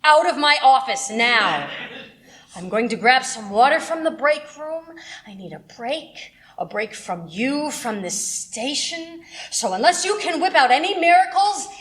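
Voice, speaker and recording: A woman playing a bitter, overworked radio station manager in a radio play, domineering and sharp-tongued. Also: high voice, lively intonation, unhurried. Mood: angry.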